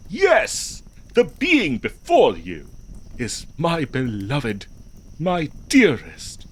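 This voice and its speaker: A man playing a flustered, devoted nineteenth-century gentleman in a comedic audio roleplay, verbose and old-fashioned, with a nervous stammer. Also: mid-range voice, full character voice. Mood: grandly pleading.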